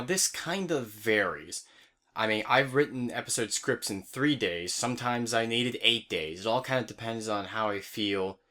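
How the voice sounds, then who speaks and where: A man's voice: lowish voice, fairly bright tone. A young adult man, the creator of an online series, explains his process step by step in an informal, casual update. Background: background music.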